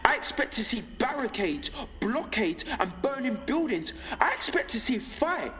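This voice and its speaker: An actor playing a defiant young pirate radio broadcaster in an audio drama, delivering a measured, impassioned monologue. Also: male voice, a little high, lively intonation, sharp tone, long reverb tail, a little hiss or hum. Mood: impassioned, angry.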